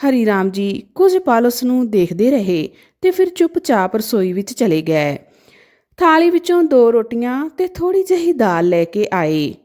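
A woman narrating a sad moral story from a script in a gentle, emotive storytelling manner. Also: fairly high pitch, big pitch swings, unhurried.

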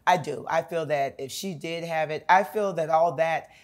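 A raspy-voiced woman in her forties, a talk-show guest, talking bluntly and animatedly in a studio interview. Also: low voice, moderate pace. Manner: animated, blunt gossip with bursts of laughter. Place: bright studio set with city view.

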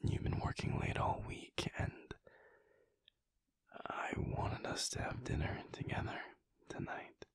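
A man playing a soft, unsure young werewolf boyfriend in an intimate audio roleplay, gentle and hesitant, stammering in a breathy, husky voice. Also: no echo, close to an everyday voice. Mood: tender, hesitant.

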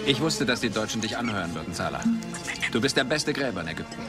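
An actor playing a wry, terse adventurer archaeologist in a German film dub. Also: male voice, fairly low pitch, expressive, fast, over music. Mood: intent.

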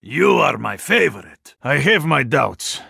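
An actor playing a hulking, stoic Russian super-soldier in a video game, proud and formal, with a gravelly, husky voice and a Russian accent. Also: male voice, medium pitch, sing-song, sharp tone, dry recording, clean studio sound, full character voice. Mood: calm, grave. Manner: terse, formal, deliberate phrasing. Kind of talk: video game character voice lines.

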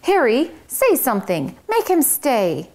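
A bossy, fussy little male mouse with a high, squeaky voice, as a woman puts him on while reading a storybook aloud. He talks in an insistent, excitable way, like a self-important manager. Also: exaggerated voice. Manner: bossy, quick to fluster, squeaky. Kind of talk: children's book read-aloud.

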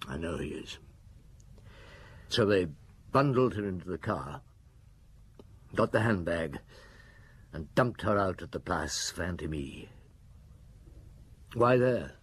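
An actor playing a weary, compassionate middle-aged French police inspector in a radio drama, measured and ruminative, with a husky voice. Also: male voice, low-pitched, animated, exaggerated voice. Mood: grave, weary.

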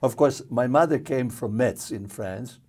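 An older man from Jerusalem, a guest in a TV studio interview, speaking slowly with many pauses and restarts into a lapel mic. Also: mid-range voice, darkish tone, breathy edge. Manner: slow, halting, with many fillers and restarts.

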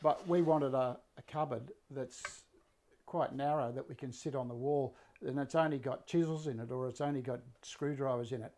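A man in his sixties with a slightly croaky voice, casually explaining a woodworking build to a live audience from his workshop, full of fillers and restarts. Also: medium pitch, moderate pace, a little hiss or hum. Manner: relaxed, rambling, many fillers and restarts. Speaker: woodworking teacher and live-stream host. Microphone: fixed camera, mid-distance framing.

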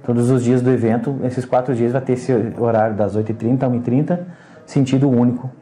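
A middle-aged man answers as an interviewed official. His medium-pitched voice is dark and relaxed, and he often tags his phrases with a short checking question. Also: quick pace. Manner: frequent "né" tags. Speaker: traffic agency official being interviewed. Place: indoors at radio station.

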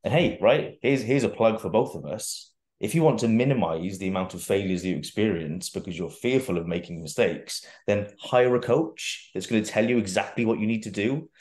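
Deep-voiced man in an echoey room. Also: brisk, hardly any pauses, darkish tone. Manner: rambling, many restarts, casual swearing. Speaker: guest fitness coach. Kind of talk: casual interview chat. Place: bare room at home, indoors.

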